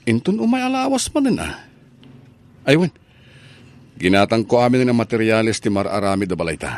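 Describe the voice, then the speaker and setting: Man playing doting, animated Ilocano fiancé. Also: on the low side, brisk. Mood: tender. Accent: Ilocano Filipino. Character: doting, generous fiancé providing for his sweetheart. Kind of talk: radio drama acting.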